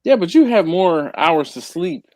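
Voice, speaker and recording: A man's voice: high voice, big pitch swings, measured pace, a little hiss or hum. A man hosting a casual group live stream, teasing his co-host and reading viewer comments aloud. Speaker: livestream host.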